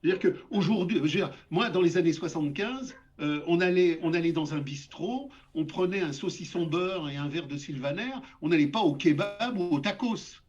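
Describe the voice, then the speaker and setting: A man's voice: fairly high pitch, moderate pace, hardly any pauses, a little mellow. An older man speaking as a guest essayist in an interview, lecturing emphatically and often repeating words. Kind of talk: interview discussion. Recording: dull lo-fi audio.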